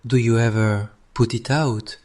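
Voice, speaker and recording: A man's voice: medium pitch, steady tone, measured pace, a little mellow, close to an everyday voice. A man voicing a teasing, defiant male lover in an audio drama.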